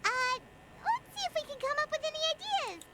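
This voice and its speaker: A woman voicing a small, cheeky, childlike fairy companion in an anime video game, squeaky and perky. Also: sing-song, a little fast. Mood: pondering. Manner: perky, refers to self in third person. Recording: loud music behind the voice.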